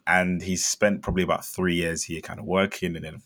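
A young man from Zambia, a company founder telling his story in a chatty interview, with lots of fillers and bursts of excitement. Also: low-pitched, fairly quick. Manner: chatty, many fillers, animated when excited. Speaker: startup founder guest.

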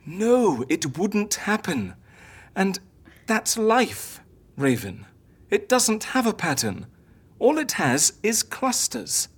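A woman's voice: mid-range voice, very animated, slow, husky, full character voice. An actress playing a confident, theatrical woman who fakes deaths for clients, lecturing and coaxing in an audio drama. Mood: didactic, philosophical. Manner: didactic, encouraging, philosophical.

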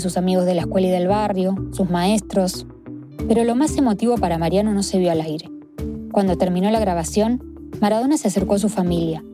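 A woman reporter narrating a documentary story in a measured, descriptive way. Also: mid-range voice. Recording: clear music bed underneath.

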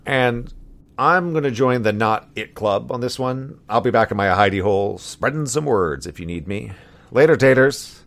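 A man's voice: medium pitch, lively intonation, normal speed, a bit bright. A man playing a flippant, sarcastic member of a rebel crew, casual and wry. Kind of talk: audio drama acting.

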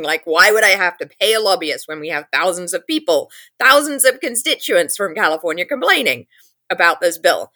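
A woman's voice: low-pitched, bright, forceful tone, no echo. A woman in her thirties from England with an English accent, telling her story in an interview and acting out her past thoughts in quotes. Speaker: advocacy group founder.

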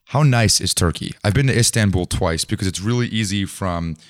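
A man with a fairly low voice hosts a podcast interview. He talks in a casual, conversational style as he puts questions to his guest. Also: fairly quick.

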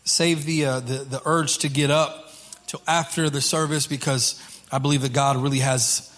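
A man preaching as a pastor to his congregation in an informal, halting way, repeating phrases, with a slightly hoarse voice. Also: medium pitch, level tone, a bit bright. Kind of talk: sermon to a congregation. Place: church service.

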